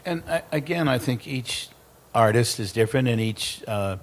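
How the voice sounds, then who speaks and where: A man speaking on a conference panel, halting and repeating words as he explains. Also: mid-range voice, expressive. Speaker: foundation president. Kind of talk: panel discussion answer.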